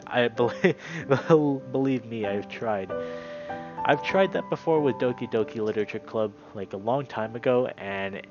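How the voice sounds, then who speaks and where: A man streaming a visual novel, moving between read-aloud narration and casual, animated commentary. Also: medium pitch, moderate pace, muffled recording, over music.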